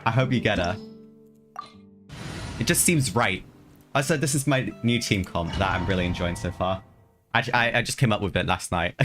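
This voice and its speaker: A man livestreaming a video game, chatting casually with his viewers, often repeating his phrases and calling them lads. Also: fairly low pitch. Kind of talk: casual live gameplay chat with viewers. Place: voice over live video game play, game music behind.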